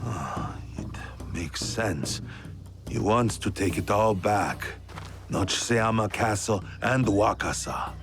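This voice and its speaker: A dignified, stern feudal lord in a game cutscene. His voice is very deep, his words formal and deliberate, with music underneath. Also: expressive. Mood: hushed, realizing. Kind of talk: dramatic video game cutscene acting.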